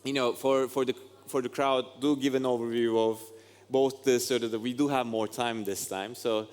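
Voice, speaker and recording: Brief: conference host, smooth mid-pitched man, chatty and rambling, hall reverb. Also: fairly even intonation, normal speed, a little mellow. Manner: loose, rambling, joking, many restarts. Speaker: interview host, investor. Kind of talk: onstage interview. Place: large event hall. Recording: reverberant hall on stage mic.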